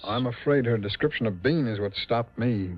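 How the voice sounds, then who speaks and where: An actor playing a sober, regretful friend of a detective in 1950s radio drama style. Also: male voice, mid-range voice, normal speed, lightly acted. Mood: sober, concerned. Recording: muffled vintage radio recording.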